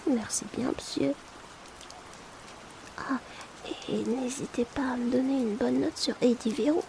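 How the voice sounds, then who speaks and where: A woman's voice: sits high, fairly even intonation, measured pace, creaky, a little mellow, slight background noise, rough recording. A woman playing a polite, chatty, discreet potion delivery assistant in a whispered ASMR roleplay, hesitant and confiding. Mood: friendly, playful.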